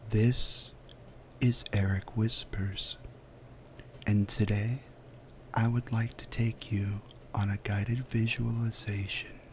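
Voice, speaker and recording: A man softly guiding a relaxation visualization in ASMR style, with a breathy, whispery voice and a soothing, unhurried delivery full of pauses. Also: on the low side, flat delivery, slight background noise. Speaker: guided relaxation narrator. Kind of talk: soft-spoken ASMR guided visualization.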